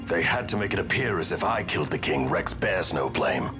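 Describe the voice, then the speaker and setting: An actor playing a solemn, resolute disgraced soldier in a video game, speaking gravely and formally. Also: male voice, deep voice, animated, fairly quick, music in the background. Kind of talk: video game drama acting.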